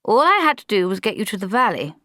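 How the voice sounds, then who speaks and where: A woman's voice: medium pitch, sing-song, quick pace, pushed and bright, dry recording, clean studio sound, pitched above the performer's own voice. A woman doing a flustered young lieutenant. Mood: fretful. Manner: fussy, polite, nervous. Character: flustered young male army lieutenant, gentle.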